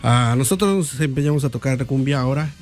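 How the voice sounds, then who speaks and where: A man's voice: mid-range voice, fairly quick, darkish tone. Middle-aged mexican guy, humble and chatty, music playing faintly behind. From Puebla, Mexico. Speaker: band leader guest.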